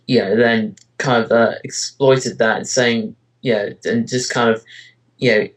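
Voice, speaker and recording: A man's voice: mid-range voice, fairly even intonation, a little slow, rough recording. A man answering interview questions in casual chat, rambling hesitantly with lots of filler phrases. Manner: hesitant, rambling delivery with frequent "you know" and "kind of". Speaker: interviewee, amateur voice actor and web parody creator.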